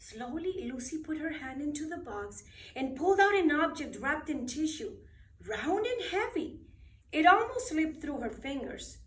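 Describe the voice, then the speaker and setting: A woman reading a bedtime story aloud expressively, with dramatic, deliberate phrasing for children. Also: high-pitched, big pitch swings, fairly bright tone. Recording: roomy echo, distant microphone.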